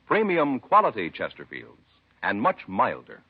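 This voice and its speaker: Old 50s radio ad guy, mid pitch, really varied emphatic delivery, dull lo-fi sound. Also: huge pitch swings, measured pace, very noisy. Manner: polished, emphatic selling delivery. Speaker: sponsor announcer. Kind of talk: scripted 1950s radio cigarette commercial. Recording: muffled 1950s radio recording.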